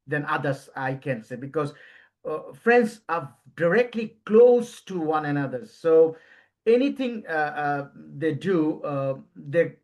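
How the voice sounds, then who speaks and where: A man from Bangladesh, hosting a casual podcast interview and often pausing to search for words. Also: on the high side, lively intonation, lo-fi sound. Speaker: podcast host.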